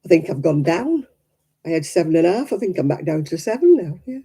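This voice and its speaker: A British woman with a croaky, hoarse voice chats casually to live viewers in a wry, teasing way. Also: lowish voice, very animated, a little fast, dark tone. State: hoarse after illness. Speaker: livestreaming crafts vlogger.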